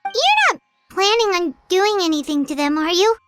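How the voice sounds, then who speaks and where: A woman's voice: sing-song, normal speed, fairly bright tone, dry recording, faint music behind, heavily acted. A woman voicing a tiny floating childlike companion in video game dialogue. The character is chatty and easily alarmed, and it speaks of itself in the third person. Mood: pleading. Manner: squeaky, refers to herself in the third person.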